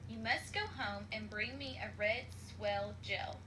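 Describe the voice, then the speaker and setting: A woman's voice: medium pitch, slow, noisy background, close to an everyday voice. A woman voicing a stern but gracious female ant queen in a storybook, with a commanding, formal manner.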